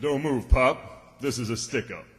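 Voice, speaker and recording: A man's voice: medium pitch, unhurried, natural voice. A man acting an inept stick-up man in a radio comedy, talking tough in curt commands. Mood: threatening. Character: gruff but inept, easily discouraged stick-up man. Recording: hall reverb, distant stage sound.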